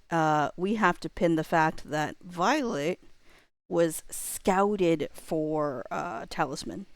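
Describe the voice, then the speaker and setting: A woman with a slightly low voice hosts a casual livestream. She rambles as she thinks aloud, with a playful streak. The sound is dry, with very little room in it. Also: smooth, no room sound. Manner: playful, quick to laugh. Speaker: livestreamer and host reading chat.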